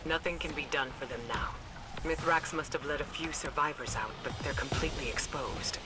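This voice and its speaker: A man's voice: a little high, fairly quick, over music, lightly acted. A man playing a stern, watchful military mission handler in a video game, giving terse, grave directions. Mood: grave, urgent.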